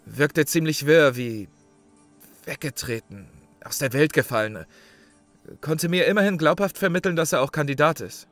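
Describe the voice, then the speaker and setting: A man's voice: a little high, moderate pace, fairly bright tone, dry recording, soft background music, natural voice. An actor playing a sober, pragmatic male survival contestant in a horror audio drama, speaking matter-of-factly. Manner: matter-of-fact.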